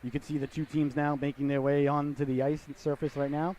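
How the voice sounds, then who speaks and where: Man, middle-aged, hockey announcer, fast, mellow voice, rambling, jokey, sniffly. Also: on the high side, little pitch movement, slight background noise. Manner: chatty, rambling, jokey asides to viewers. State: sniffly from a cold. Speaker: play-by-play announcer. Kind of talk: casual hockey broadcast commentary.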